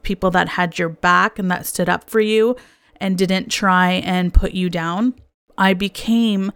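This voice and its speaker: A woman's voice: lowish voice, clean tone, dry recording, studio quality. A woman from Canada, hosting a podcast and telling her own story in an earnest, candid, confessional monologue. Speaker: podcast host. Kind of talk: personal reflective monologue.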